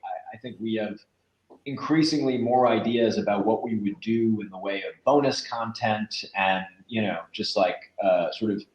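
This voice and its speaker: A man's voice: fairly low pitch, normal speed. A man chatting casually as an interview guest, talking in a loose, informal way with many asides. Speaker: podcast creator, interview guest. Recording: roomy echo, dull lo-fi sound.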